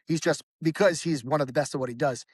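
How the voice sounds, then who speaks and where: A man with a fairly high voice talks as a podcast guest in a loose, rambling way. He keeps restarting his sentences and fills gaps with "like". The sound is dry and close, with no room echo. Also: fast, breathy edge. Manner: rambling, with frequent restarts and fillers. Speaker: podcast guest, band vocalist. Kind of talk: casual conversation.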